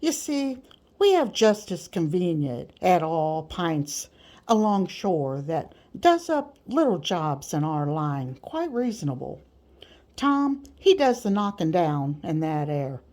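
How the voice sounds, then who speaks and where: A woman's voice: on the low side, very animated, slow pace, a little mellow. A woman voicing a sly, boastful male slave catcher with rustic grammar in an audiobook. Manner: rustic nonstandard grammar, gleefully bragging. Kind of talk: audiobook character voice.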